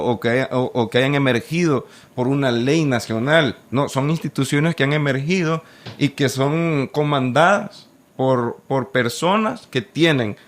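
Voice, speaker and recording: Earnest guy from el salvador on a church panel, explains stuff, lots of restarts. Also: medium pitch. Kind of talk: casual discussion.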